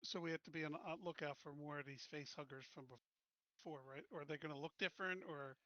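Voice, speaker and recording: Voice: medium pitch, steady tone, fairly quick, sharp tone, no room sound. A player in a tabletop game, asking the game master questions and pressing for answers. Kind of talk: casual game chat. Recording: dull lo-fi sound.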